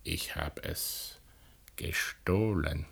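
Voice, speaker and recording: A wry, cautious older man with a deep voice. He is a conspiratorial uncle in a radio play, careful about what he gives away. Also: drawn-out words, gravelly, fairly bright tone, slightly rough, exaggerated voice. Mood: confiding, cautious. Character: cautious, wry old uncle smuggling forbidden things. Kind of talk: German radio drama acting.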